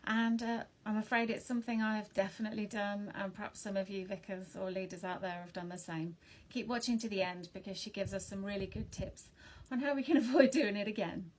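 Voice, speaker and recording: A woman vicar hosting an interview, chatty and lively, quick to laugh. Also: mid-range voice, reverberant, recorded from a distance. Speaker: vicar and host.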